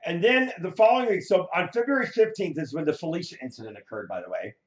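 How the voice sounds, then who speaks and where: Hoarse man in a roomy echo. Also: high-pitched, quick pace. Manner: animated, laughing, swearing. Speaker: co-host. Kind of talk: casual chat about news clips.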